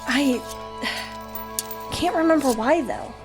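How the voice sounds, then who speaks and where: An actress playing a thoughtful, focused spellcaster adventurer in an audio fantasy drama, speaking deliberately. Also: female voice, high-pitched, over music. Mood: pensive.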